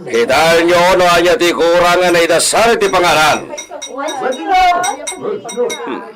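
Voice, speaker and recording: A man playing a dignified hacienda master in an Ilocano radio drama, measured and commanding, with drawn-out phrases. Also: fairly high pitch, fairly bright tone. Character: dignified hacienda master, respectful adult son.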